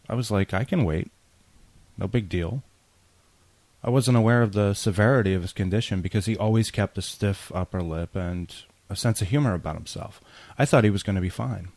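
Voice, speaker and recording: Low-voiced man, close dry sound. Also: darkish tone, dry recording. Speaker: podcast host. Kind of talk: personal spoken tribute.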